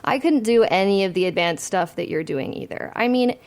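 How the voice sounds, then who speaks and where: A woman's voice: mid-range voice, animated, a little fast, darkish tone, natural voice. A young woman playing an ambitious finance student in an audio drama, talking in a dry, self-mocking way. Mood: wry. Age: young adult.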